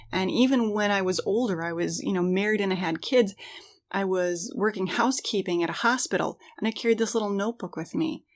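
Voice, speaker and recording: Earnest woman in casual reflective conversation. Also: medium pitch. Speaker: podcast co-host.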